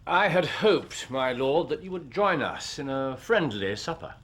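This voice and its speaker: An actor playing a brilliant, urbane English detective in a period radio drama, speaking precisely and theatrically. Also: male voice, a little high, animated, unhurried, fairly bright tone, breathy edge, full character voice. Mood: courteous, expansive.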